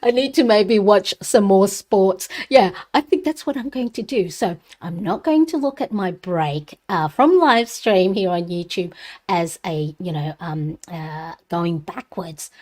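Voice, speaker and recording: A woman's voice: mid-range voice, unhurried, a little mellow. A middle-aged woman from the Philippines, Tagalog her first language, hosting a casual planner live stream in a chatty, rambling way with frequent fillers and light laughs.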